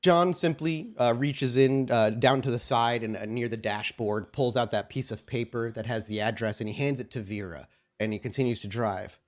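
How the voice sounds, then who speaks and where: Man narrating as game master, deliberate delivery. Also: medium pitch, fairly even intonation. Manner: halting, deliberate, descriptive. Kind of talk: improvised narration of a tabletop role-playing game.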